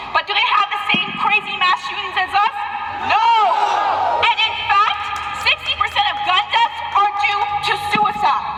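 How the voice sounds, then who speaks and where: A young woman emceeing a rally, excited and rallying the crowd. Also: extremely high pitch. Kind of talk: rally introduction. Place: outdoor rally. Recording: distant PA with long echo.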